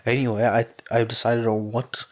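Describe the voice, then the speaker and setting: A man with a hoarse, sore voice reading fan fiction aloud as a podfic narrator, then chatting casually with his listeners. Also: medium pitch, normal speed. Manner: plain, steady reading with a strained, sore voice. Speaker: podfic reader.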